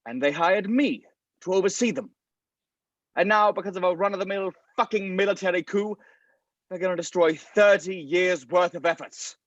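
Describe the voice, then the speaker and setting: A man's voice: sits high, normal speed, no room sound, highs cut off, close to an everyday voice. A man playing a cynical, condescending scientist with a foul mouth in a script table read. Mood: scornful. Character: cynical, derisive scientist called the Thinker, contemptuous and callous.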